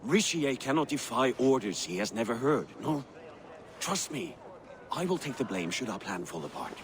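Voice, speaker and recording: A man's voice: mid-range voice, sing-song, normal speed, background noise, close to an everyday voice. A man playing a boisterous, scheming, hard-drinking Viking warrior in a dramatic video game, with a theatrical way of talking. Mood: conspiratorial.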